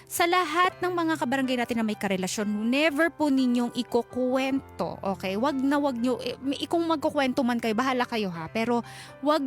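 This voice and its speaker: A woman's voice: high-pitched, animated, moderate pace. A Filipino woman hosting a radio advice show, talking conversationally and emphatically to a caller and mixing in English. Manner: emphatic, code-switches into English. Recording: music bed under voice. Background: background music.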